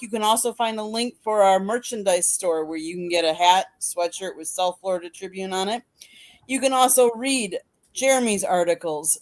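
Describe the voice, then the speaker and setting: A woman co-hosting a sports podcast, reading out plugs, links and contact details. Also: medium pitch. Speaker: co-host reading announcements. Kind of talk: reading show announcements.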